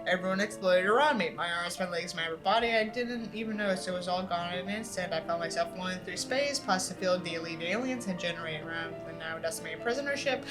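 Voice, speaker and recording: A woman's voice: fairly low pitch, fast talker, few pauses, music in the background, low-quality recording. A woman reading a book aloud as an online creator, with an irreverent, exasperated manner and casual, sweary asides.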